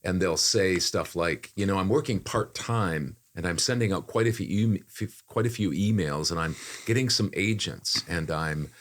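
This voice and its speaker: A man's voice: sits low, normal speed, hardly any pauses. An older man co-hosting a casual podcast, talking in a rambling, self-deprecating way about his work. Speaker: voice-over talent, podcast co-host. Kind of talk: casual chat.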